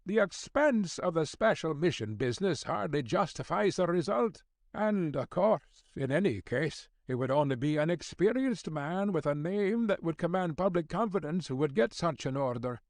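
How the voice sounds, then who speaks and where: Man voicing a high-pitched, kindly old Scottish editor. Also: lively intonation, normal speed, no echo. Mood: doubtful, fussy. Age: older. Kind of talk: audiobook character dialogue.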